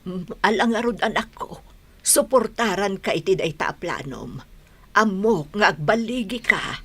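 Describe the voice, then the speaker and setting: A woman's voice: medium pitch, expressive, normal speed, fairly bright tone, breathy edge. An actress playing a caring older mother who can scold, in Filipino-accented radio drama style. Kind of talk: Ilocano radio drama acting.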